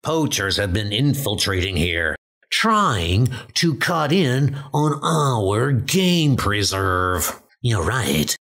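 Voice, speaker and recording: A man's voice: lowish voice, big pitch swings, slow pace, a bit bright, voice pushed down, full character voice. A man voicing a callous mutant rat on hunting patrol in a comic dub. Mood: matter-of-fact.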